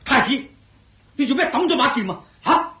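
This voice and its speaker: A loyal, hot-headed servant in a radio drama. He is excitable and scornful, with a high voice that jumps around a lot in an echoey recording. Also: moderate pace, exaggerated voice. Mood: impatient, urging. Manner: excitable, urging, colloquial. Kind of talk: Cantonese radio drama acting.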